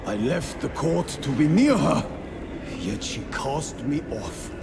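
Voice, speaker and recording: A dead squire's tormented ghost in a game drama, full of remorse and pleading. The voice is heavily creaky and slow, set a little high for a male, with clear noise behind it. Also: very animated, full character voice. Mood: sorrowful. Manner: mournful, pleading, halting. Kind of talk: dramatic video game acting.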